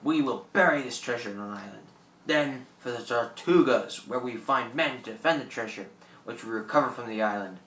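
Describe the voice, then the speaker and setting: An actor as a gloating old pirate, roomy sound. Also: male voice, mid-range voice, a little fast, a bit bright, natural voice. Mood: indignant. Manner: theatrical, gloating, cackling. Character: boastful old French pirate, greedy and menacing, newly revived. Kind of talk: radio play acting. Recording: roomy, distant microphone.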